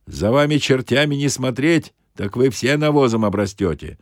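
A man's voice: medium pitch, lively intonation, moderate pace, no room sound, studio quality, voice pushed up, close to an everyday voice. A man voicing a stern, officious soldierly man with a strict, hectoring manner, in an audiobook.

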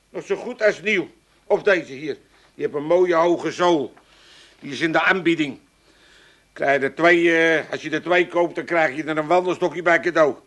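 An actor playing a talkative, boastful older shopkeeper with an Amsterdam accent in a radio play, rambling on in chattering sales patter. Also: male voice, fairly high pitch, a bit bright, lightly acted. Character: talkative, boastful old male shopkeeper, a bit tipsy.

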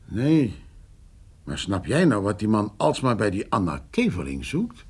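An actor playing a gruff, evasive middle-aged farmer in a radio play, grumbling and hesitant with a husky voice. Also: male voice, lowish voice, huge pitch swings, measured pace, heavily acted. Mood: grumbling, indignant. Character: gruff, evasive married farmer nursing an old feud. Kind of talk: Dutch radio drama acting.

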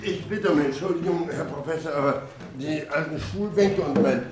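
An actor playing a stout, self-mocking middle-aged company director in a German radio play, pompously formal. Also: male voice, on the high side, normal speed, reverberant, background noise, close to an everyday voice. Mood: apologetic, pompous.

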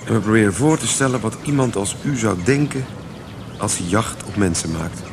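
A defiant young man with a gun in a radio drama, played by a man, low in pitch. His speech is courteous, probing and menacing. There is clear background noise like boats outdoors. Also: normal speed, lightly acted. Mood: coolly menacing. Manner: polite but menacing, probing. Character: armed, defiant young man seeking a reckoning. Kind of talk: radio play acting.

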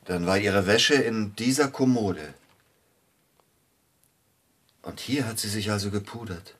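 Low-voiced, courteous, brooding male pianist. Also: slow, fairly bright tone. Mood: brooding, grieving. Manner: formal, polite, hesitant. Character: celebrated pianist, a courteous, brooding bereaved husband. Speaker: radio drama actor. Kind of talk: German radio play acting.

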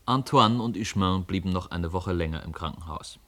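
Tiefer männlicher Hörspiel-Erzähler. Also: male voice, sits low, brisk, fairly bright tone. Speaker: narrator. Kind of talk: scripted storytelling narration for radio drama.

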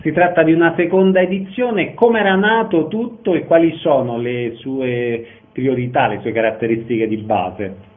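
A man's voice: medium pitch, moderate pace. A man working as an interviewer, putting questions in an informal style. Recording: narrow, phone-like sound.

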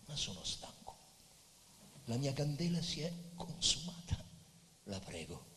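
An actor playing a weary, dying middle-aged poet in a theatrical stage monologue, declaiming grandly with long pauses and hushed, sighing trail-offs. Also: male voice, medium pitch, slight background noise. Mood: exhausted, hushed. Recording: live theatre hall, long reverb, guitar music. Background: Portuguese guitar music.

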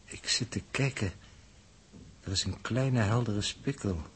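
An actor playing a learned, precise space scientist in a 1960s Dutch radio drama, with a measured, didactic delivery. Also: male voice, on the low side, slight background noise, lightly acted. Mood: observant.

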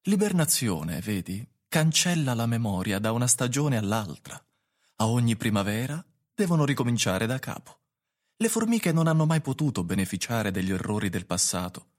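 A man's voice: mid-range voice, very animated, moderate pace. A man playing a cool, eccentric recluse of the woods in an Italian radio drama, explaining with detachment. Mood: coolly explaining.

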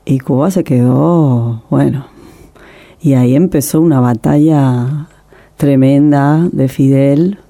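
A woman's voice: a bass voice, takes it slowly, mellow. A middle-aged woman filmmaker telling her story in an interview, passionate and emotional, often restarting her sentences. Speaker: documentary filmmaker, guest.

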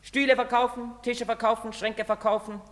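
A man's voice: medium pitch, animated, normal speed, fairly bright tone, lightly acted. Male actor for a young outcast son, bitter and intensely scornful, theatrical German drama delivery, very reverberant space. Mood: bitterly mocking, agitated. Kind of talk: German stage drama acting. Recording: very reverberant hall or stage.

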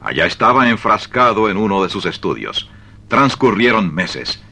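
A male narrator tells a scripted story for radio theatre. His voice is low with a bright, forceful edge. The delivery is animated, moving widely in pitch. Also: moderate pace, slightly rough.

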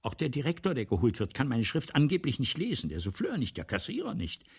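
An imperious, failing man of the theatre in a radio drama. His voice is badly rasping and creaky, and it lurches from muttered asides to theatrical tirades. He is vain, agitated and rambling, with very lively pitch. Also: quick pace, exaggerated voice. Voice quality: husky, gravelly. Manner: theatrical, erratic, swinging from muttering to outbursts. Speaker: radio play actor. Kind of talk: 1970s German radio play acting.

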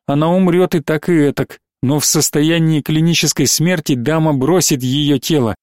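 A man voicing a calm, cold and firm male doctor in an audiobook, speaking level and unhurried. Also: a little high, no room sound, studio quality, natural voice. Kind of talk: audiobook reading.

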